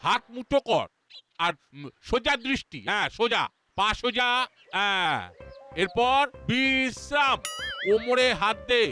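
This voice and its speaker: A man's voice: very high voice, animated, measured pace, long pauses, bright, forceful tone, heavily acted. An actor playing a strict, elderly grandfatherly disciplinarian in a cartoon, speaking in a formal, commanding manner. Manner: stern, commanding, formal wording. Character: strict old grandfatherly disciplinarian man drilling children. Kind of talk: cartoon voice acting. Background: background music.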